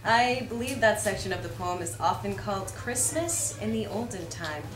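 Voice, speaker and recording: A woman's voice: medium pitch, expressive, moderate pace. Woman reading light verse, echoey noisy room. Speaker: reader at a holiday readers theater. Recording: echoey room with clear background noise.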